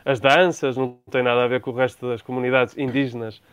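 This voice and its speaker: Smooth-voiced Portuguese man, hesitant. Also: mid-range voice, steady tone, fast, no echo. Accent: European Portuguese. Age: 30s. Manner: hesitant, restarts words, thoughtful. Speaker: guest, traveler. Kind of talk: conversational interview.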